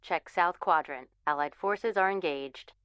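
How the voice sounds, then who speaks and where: Low, smooth military command operator. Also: female voice, unhurried, fairly bright tone, dry recording. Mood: composed. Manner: clipped, impersonal, procedural. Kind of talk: video game tactical announcements.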